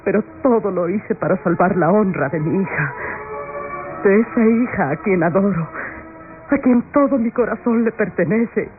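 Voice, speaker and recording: A woman's voice: medium pitch, moderate pace, music in the background, lightly acted. An actress playing a long-suffering, self-sacrificing mother on trial in an old radionovela, solemn and melodramatic. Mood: anguished, resolute. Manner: solemn, melodramatic, declaiming in short phrases. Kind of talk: old-fashioned Latin American radionovela acting. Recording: dull lo-fi old radio recording.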